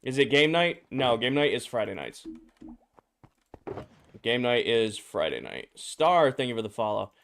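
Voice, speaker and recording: A man streaming a game, his voice slightly hoarse and drowsy, casually greeting viewers and answering chat questions. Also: mid-range voice, sing-song, unhurried, pauses often, a bit bright. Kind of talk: casual live-stream chat while playing.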